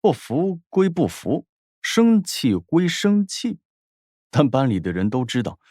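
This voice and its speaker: A man's voice: a little high, very animated, a little slow, darkish tone, dry recording, clean studio sound. A man narrating a scripted story as an audiobook narrator, in a dramatic storytelling style.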